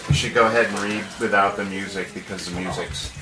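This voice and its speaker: A man's voice: on the low side, fairly even intonation, fast talker. A man chatting casually and offhand from across a room at a live event. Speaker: helper running the music playback. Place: indoor cafe poetry reading. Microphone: off mic, across the room. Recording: echoey room, distant, crowd murmur.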